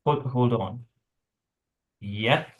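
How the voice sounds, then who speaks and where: A man's voice: mid-range voice, extremely slow, lo-fi sound. A man at a script table read playing a businesslike intelligence analyst giving a briefing. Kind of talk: dramatic script reading.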